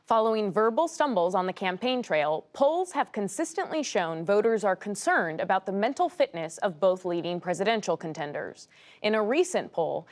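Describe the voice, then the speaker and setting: Woman, news correspondent, lively intonation, crisp delivery. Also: medium pitch. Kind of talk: news report.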